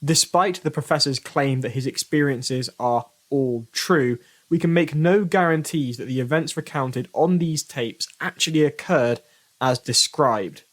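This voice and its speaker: A man presenting a mystery audio drama with scripted narration, in a measured, formal and portentous manner. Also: a little high. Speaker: host, presenter.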